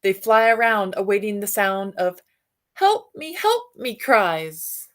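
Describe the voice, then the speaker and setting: Woman, children's storyteller, slow careful pace, mid-range pitch, expressive read-aloud, musing asides. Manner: expressive reading, alternating with softer musing asides and hums. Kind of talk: picture-book read-aloud with questions to young listeners.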